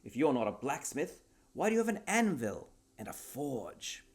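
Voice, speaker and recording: A man voicing a haughty, impatient English duke in a storybook reading, gruff, pompous and huffy. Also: mid-range voice, very animated, moderate pace, pitched above the performer's own voice.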